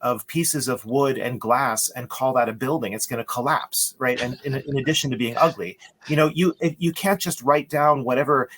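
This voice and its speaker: A man's voice: mid-range voice, normal speed, hardly any pauses, a bit bright, slight background noise. A man as an interview guest, explaining in an emphatic, lecturing way with rhetorical checks. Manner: emphatic, lecturing, asks "right?". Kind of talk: conversational interview.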